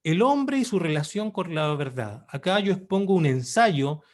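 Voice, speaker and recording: A man in his thirties with a Chilean accent, presenting his own book online in a formal, measured way with frequent pauses. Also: on the high side, expressive. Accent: Chilean Spanish. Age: 30s.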